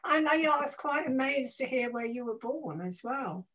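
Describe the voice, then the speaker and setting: A woman's voice: high voice, lively intonation, normal speed, a bit bright. A woman of eighty, a pastor hosting a radio show, talking casually and reflectively in a personal, anecdotal way with small exclamations.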